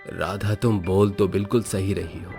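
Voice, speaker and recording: A man voicing a caring middle-aged father in a moral cartoon story, with an expressive, coaxing manner. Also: low voice, moderate pace. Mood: agreeable. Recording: background music under the voice.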